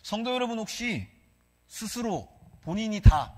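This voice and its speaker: A man's voice: sits high, big pitch swings, measured pace, a little mellow. A male pastor with a Gyeongsang accent preaching a sermon, asking the congregation questions and leading them in reading scripture aloud. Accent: Gyeongsang Korean. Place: church sanctuary. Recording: long reverb of a church hall.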